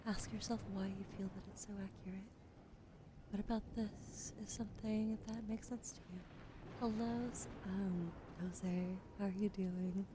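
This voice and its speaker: A woman streamer giving a gentle tarot reading, often dropping into a whisper while she greets chat. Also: medium pitch, fairly even intonation, measured pace, background noise.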